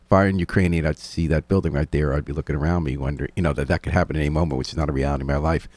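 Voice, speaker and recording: A man's voice: extremely low pitch, fairly even intonation, rapid-fire, a little mellow, dry recording. A man hosting a community radio interview, conversational and prodding his guests with questions. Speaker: radio host. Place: radio studio. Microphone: studio microphone.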